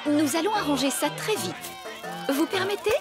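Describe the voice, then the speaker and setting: A woman's voice: sits high, expressive, normal speed, over loud music, over a noisy crowd. A woman playing a proud, easily offended fairy nanny in a cartoon, with a prim, pompous delivery. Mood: composed. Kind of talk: animated cartoon dub.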